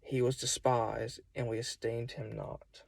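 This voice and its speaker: A man's voice: medium pitch, flat delivery, unhurried, creaky, no echo. A young man with a Southern US accent, preaching in an informal, folksy and conversational way. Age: young adult. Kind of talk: informal sermon. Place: solo self-recording.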